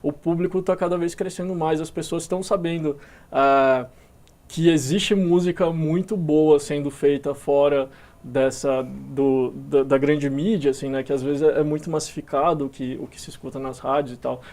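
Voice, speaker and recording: Mellow-voiced Brazilian man, relaxed and hesitant. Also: fairly high pitch, moderate pace. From Minas Gerais, Brazil. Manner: relaxed, hesitant, many fillers and restarts. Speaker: musician guest. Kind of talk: casual interview talk.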